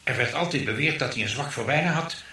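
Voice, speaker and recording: A tense, flustered husband, roomy sound. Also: male voice, mid-range voice, fast talker, pushed and bright. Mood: puzzled, dawning dismay. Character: flustered, money-minded husband and nephew, incredulous. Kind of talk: radio play acting. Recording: roomy, slightly noisy radio recording.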